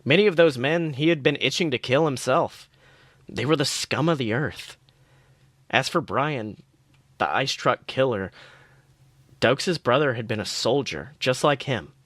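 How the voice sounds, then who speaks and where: A man's voice: medium pitch, unhurried, pauses often, a bit bright, dry recording. Man narrating fan fiction, dry close sound. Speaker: podcast co-host. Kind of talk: reading fan fiction aloud.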